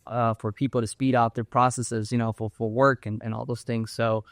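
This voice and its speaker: A young man from Cuba, a guest in a casual interview, who talks haltingly and often restarts his phrases. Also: mid-range voice, flat delivery, no echo, studio quality.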